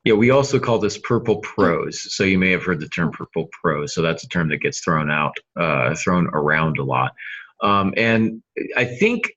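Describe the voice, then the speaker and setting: A man's voice: sits low, animated, normal speed, fairly bright tone. A man hosting a casual podcast discussion, speaking in a hesitant, lightly teasing way. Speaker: podcast host, novelist. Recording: dull lo-fi sound.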